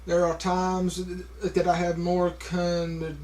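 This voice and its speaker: A man's voice: high voice, monotone, darkish tone. A man talking casually to a camera, with a heavy stutter, repeating sounds and stopping on words, with many fillers. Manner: slow, halting, many fillers. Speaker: vlogger.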